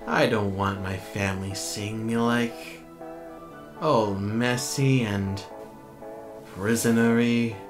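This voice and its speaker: Casting: woman as distressed male robot celebrity, vain and theatrical, unhurried low-pitched delivery, comic dub. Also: steady tone, over music. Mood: downcast.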